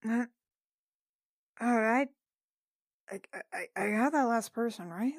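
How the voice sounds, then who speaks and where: A woman's voice: on the high side, slow pace, no echo, clean studio sound. A woman playing a tabletop roleplaying game, chatting casually about her character as a player.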